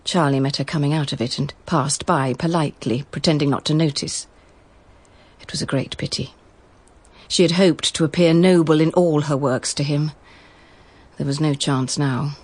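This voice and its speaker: A woman's voice: sits low, darkish tone, dry recording. A woman with a British accent reading literary, scripted narration for a radio drama.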